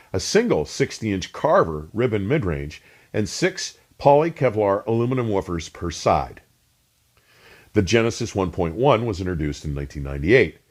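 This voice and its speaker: A man with a fairly deep voice delivers scripted, documentary-style narration. His intonation swings widely, stressing key words. He speaks in a measured way and articulates technical terms with care. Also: darkish tone. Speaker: host and narrator of an audio review channel.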